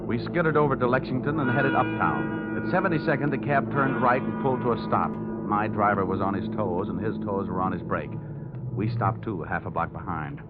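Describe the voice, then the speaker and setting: A man's voice: fairly low pitch, animated, a little fast, close to an everyday voice. Wry hard-boiled radio detective played by a man, music behind. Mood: wry narration. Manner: wry, punchy, sardonic. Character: wisecracking tough male insurance investigator narrating his case. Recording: dull old radio recording, loud music.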